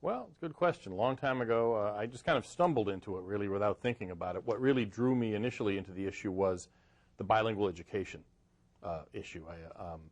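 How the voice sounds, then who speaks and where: A man's voice: on the low side, fast talker. A man from Boston and Cleveland, a guest author answering interview questions thoughtfully, with frequent fillers and restarts. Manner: thoughtful, hesitant with many fillers.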